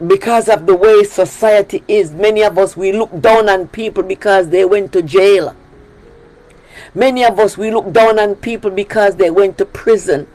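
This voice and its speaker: A woman's voice: mid-range voice, fairly even intonation, normal speed, darkish tone, soft background music, poor audio. A woman from Jamaica with a Jamaican accent, preaching a sermon on her prayer broadcast in an emphatic style that repeats key phrases. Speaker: pastor leading a prayer broadcast.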